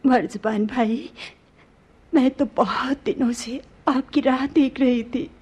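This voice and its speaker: Young princess: high, breathy, plaintive and lovesick, measured radio-play delivery. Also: female voice, darkish tone, highs cut off, exaggerated voice. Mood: yearning, insistent. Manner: plaintive, slow, theatrical Urdu diction. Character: young lovesick Chinese princess, sorrowful and insistent. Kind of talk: radio drama acting.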